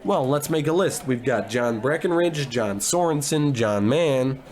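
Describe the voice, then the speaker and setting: A man's voice: medium pitch, lively intonation, measured pace, a little mellow, natural voice. A man playing a hapless, hard-drinking, cocky private detective in a comedic old-time radio noir drama, given to wisecracks and melodramatic monologue. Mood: stubborn, brash. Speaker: narrator and lead actor.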